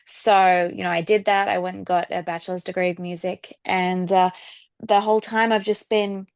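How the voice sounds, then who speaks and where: A woman with an Australian accent chatting casually as an interview guest, often softening points with filler phrases like "kind of". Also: lowish voice, flat delivery, moderate pace, clean tone, no echo. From Sydney, Australia. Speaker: guest singer-songwriter.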